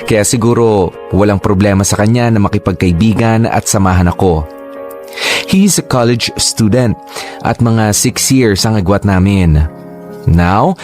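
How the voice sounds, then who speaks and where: Low-voiced man narrating a story. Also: darkish tone. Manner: confiding, candid retelling. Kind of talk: scripted storytelling.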